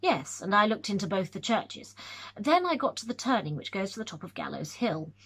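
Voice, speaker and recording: A woman's voice: mid-range voice, brisk, slightly rough, heavily acted, poor audio. A woman reading aloud, voicing a young male scholar who tells his tale eagerly, with nervous stumbles. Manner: lively, excited storytelling with hesitations.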